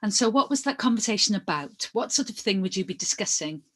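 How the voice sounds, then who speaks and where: A woman playing a female lawyer in a verbatim hearing reading. She questions formally and methodically, in a measured, probing manner at a middling pitch. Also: lively intonation, a bit bright.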